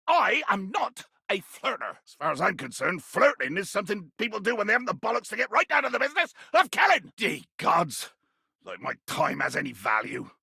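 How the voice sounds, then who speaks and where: A ranting pirate berserker for a video game, comically menacing and full of florid threats. His voice is very gravelly and badly rasped, pitched high for a man. He delivers every line with grandiose bombast, ready to erupt into a roar. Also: sing-song, fairly quick, bright, forceful tone, no echo, clean studio sound, heavily acted. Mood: menacing, indignant. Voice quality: gravelly, raspy. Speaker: voice actor. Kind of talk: theatrical video game character acting.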